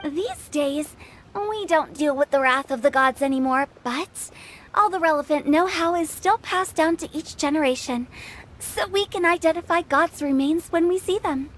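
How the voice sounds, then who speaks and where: A woman's voice: sits high, moderate pace, slight background noise, natural voice. A woman playing a young, breezy female funeral parlor director explaining things in a video game. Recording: music under the voice.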